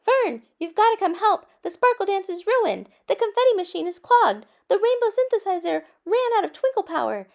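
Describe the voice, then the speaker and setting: A woman voicing an excitable young female unicorn in a children's storybook, exclaiming and pleading earnestly. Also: extremely high pitch, normal speed, vocal fry, hardly any pauses, voice pushed up, full character voice. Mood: panicked pleading.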